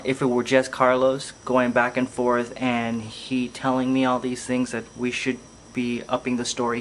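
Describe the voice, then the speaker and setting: A man's voice: mid-range voice, fairly bright tone, slight background noise, low-quality recording. A man talking casually and reflectively in a podcast as a filmmaker, hesitating as he thinks aloud. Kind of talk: casual reflective vlog monologue.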